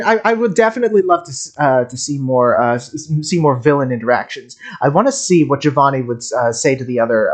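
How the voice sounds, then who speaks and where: A man streaming casual game commentary, excitable and jokey, rambling as he reacts. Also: medium pitch.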